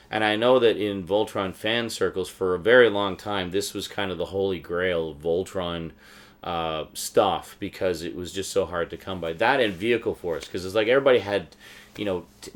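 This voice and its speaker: A man giving casual, unscripted show-and-tell commentary as a vlogger, rambling along with a fan's enthusiasm. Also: deep voice. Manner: rambling, enthusiastic fan talk. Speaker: vlogger, collector showing DVD purchases.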